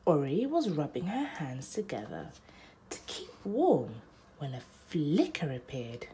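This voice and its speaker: A young woman narrating a children's bedtime picture book aloud, with deliberate, dramatic phrasing. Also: low-pitched, wildly swooping pitch, dark tone, a little hiss or hum, poor audio.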